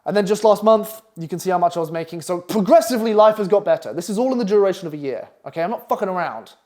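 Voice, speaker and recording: Brash, fast-talking Essex man. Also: high voice, expressive, darkish tone. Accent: Essex English. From England. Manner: brash, profane, pushy. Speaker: copywriting coach. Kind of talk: online masterclass presentation. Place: London flat.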